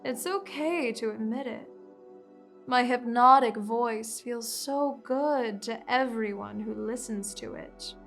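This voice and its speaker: A commanding, seductive vampire queen, as a woman acts her out, drawing out every word at a languid, unhurried pace in a fairly high voice. She lulls and controls with slow, hypnotic phrasing. Soft music plays faintly behind her. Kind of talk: ASMR hypnosis roleplay.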